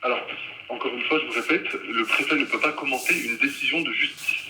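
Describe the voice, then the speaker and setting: A man's voice: mid-range voice, a little fast, background noise. A man speaking as an official spokesman, making a formal, guarded statement in bureaucratic language. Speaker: prefecture spokesman.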